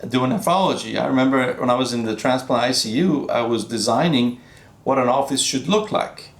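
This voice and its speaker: A man in his fifties, originally from Iran, speaking as a physician guest in a relaxed interview, reflective and halting, with frequent restarts. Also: mid-range voice, roomy sound. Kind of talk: casual interview conversation.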